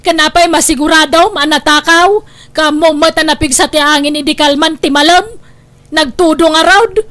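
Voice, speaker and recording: Very high-voiced Ilocano village woman, outspoken, acted. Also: steady tone, normal speed, fairly bright tone. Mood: defensive, indignant. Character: defiant, gossipy village woman neighbour. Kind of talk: Ilocano comedy radio-style drama acting.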